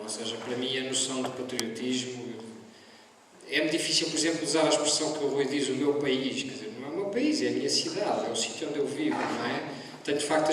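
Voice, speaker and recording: Man talking in an echoey school hall. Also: mid-range voice. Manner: reflective, conversational, addresses audience directly. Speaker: panelist. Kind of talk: panel talk to students. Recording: echoey hall from far off, noisy.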